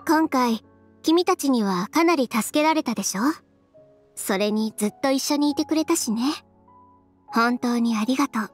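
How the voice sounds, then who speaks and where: A woman's voice: on the high side, animated, moderate pace. A woman playing a proud, self-assured young fashion designer in Japanese game acting, with a cool, assertive, teasing manner. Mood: gentle, sincere. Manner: cool, assertive, teasing, crisp feminine phrasing. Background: background music.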